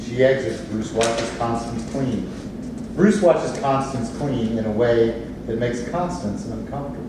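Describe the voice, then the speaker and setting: A man's voice: mid-range voice, measured pace. A man reading out stage directions as scripted narration in a play reading. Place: theatre space, recorded from audience distance. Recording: echoey room, far mic, noisy.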